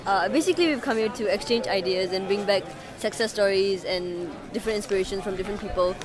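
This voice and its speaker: A Singaporean woman speaks quickly at a medium pitch, giving a personal statement as a delegate. A clear outdoor crowd murmurs behind her. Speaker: youth conference delegate. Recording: busy crowd, outdoor venue.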